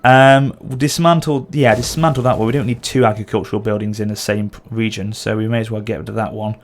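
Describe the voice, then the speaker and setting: A man's voice: lowish voice, steady tone, fast, slight background noise. A man with a sore throat and occasional cough, casually commenting as he plays a strategy game. He thinks aloud and corrects himself as he goes. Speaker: gameplay channel host.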